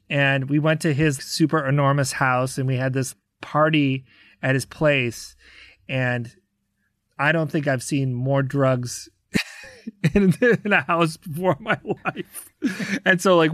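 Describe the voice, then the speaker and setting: A man's voice: fairly high pitch, a bit bright, clear, resonant tone, no room sound. American man telling stories, dry close sound. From United States, Chicago area. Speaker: podcast guest, touring rock drummer. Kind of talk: casual anecdote storytelling.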